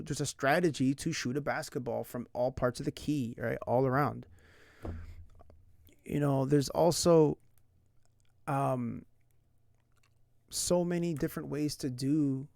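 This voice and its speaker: A man's voice: a little high, gravelly, darkish tone, no echo, studio quality. A young man from San Diego, United States, streaming casually about language learning, rambling with lots of "like" and "right?". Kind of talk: casual livestream chat. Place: indoors at night.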